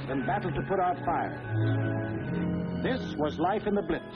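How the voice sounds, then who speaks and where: A man's voice: high-pitched, expressive, a little slow, loud music behind the voice. A man delivering dramatic, emphatic narration for a 1940s wartime documentary on an old film soundtrack. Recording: dull, band-limited 1940s film soundtrack. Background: loud orchestral score.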